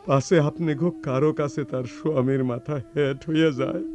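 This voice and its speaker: An actor playing a sorrowful, tender poor village brother in a radio drama, plaintive and tearful, with a rural Bangladeshi accent. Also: male voice, a little high, steady tone, brisk, close to an everyday voice. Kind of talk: melodramatic radio drama acting.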